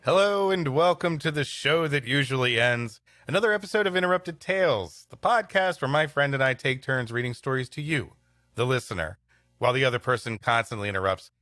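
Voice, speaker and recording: Bright-voiced male comedy podcast host. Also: medium pitch, brisk, no echo, faint music behind. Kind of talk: casual comic banter.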